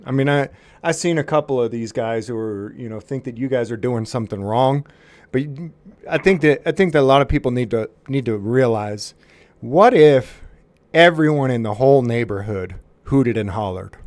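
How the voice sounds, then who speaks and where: A man's voice: medium pitch, normal speed, a little mellow, no room sound. A man making a prank phone call to a police department, mock-serious and faux-sincere, often restarting his sentences.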